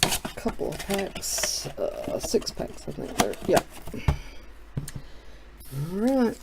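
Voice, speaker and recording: A middle-aged man hosting a casual, relaxed chat, thinking aloud as he opens packs of cards. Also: high voice, takes it slowly, vocal fry, heavy background noise. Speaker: card shop host. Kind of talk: casual chat while opening card packs.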